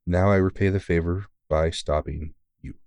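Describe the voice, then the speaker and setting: Veteran vampire hunter played by a man for audio roleplay: very deep, close and dry, earnest, sweary, reassuring. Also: steady tone, normal speed, darkish tone, dry recording, close to an everyday voice. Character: big, gruff but caring veteran male vampire hunter, mentoring. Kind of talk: intimate audio roleplay monologue.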